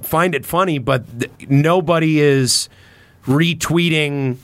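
A man's voice: a little high, slow pace, fairly bright tone, no room sound. A man talking casually on a podcast as a host, opinionated and emphatic in how he makes his points.

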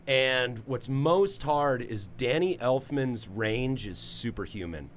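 Man, deep voice, podcast host, loose profane chatter, some light background noise. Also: slow. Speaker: singer. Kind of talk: casual chat.

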